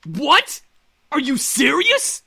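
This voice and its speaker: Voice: sits high, huge pitch swings, slow pace, gravelly, bright, forceful tone, dry recording, clean studio sound, exaggerated voice. An actor voicing a brash, impatient young male incubus with a blunt, growly manner in a game.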